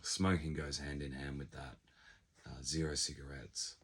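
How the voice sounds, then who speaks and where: Croaky sick guy, super deep husky voice, slow reflective vlog chat full of ums. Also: little pitch movement, a bit bright. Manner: reflective, unhurried, frequent ums. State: hoarse, recovering from illness. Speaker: channel creator. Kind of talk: casual vlog monologue. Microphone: unfamiliar backup microphone.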